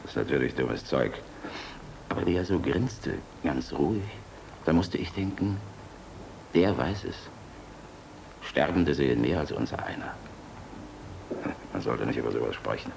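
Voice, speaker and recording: A man's voice: extremely low pitch, animated, measured pace, gravelly, pauses often, full character voice. An actor in a German radio play, playing a world-weary, tender general and flier who knows he is hunted. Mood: grave, confiding. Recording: noisy old radio play recording.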